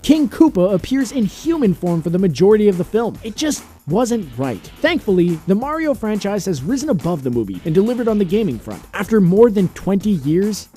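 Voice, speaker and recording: A man's voice: fairly high pitch, warm tone. A man reading a scripted entertainment countdown as its narrator. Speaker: narrator of a video game and film countdown. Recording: music bed under the voice. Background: background music.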